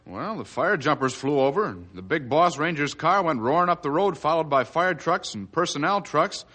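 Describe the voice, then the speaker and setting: A man's voice: on the high side, lively intonation, normal speed, sharp tone. A man playing a nosy, concerned townsman in a radio drama, insistent and emphatic. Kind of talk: radio adventure drama acting.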